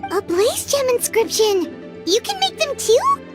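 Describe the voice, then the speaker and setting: Game role: tiny excitable fairy; extremely high squeak, very animated, encouraging. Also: female voice, measured pace, music in the background, full character voice. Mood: amazed. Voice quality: squeaky, childlike. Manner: chirpy, encouraging. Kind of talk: video game voice acting.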